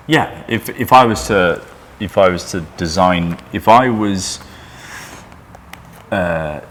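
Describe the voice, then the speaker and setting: A man's voice: deep voice, fairly bright tone. A Welsh-born man who runs a coffee roastery, talking casually in an interview, dry and wry, often stopping and restarting. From Wales. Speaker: coffee roaster and business owner. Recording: noisy room ambience.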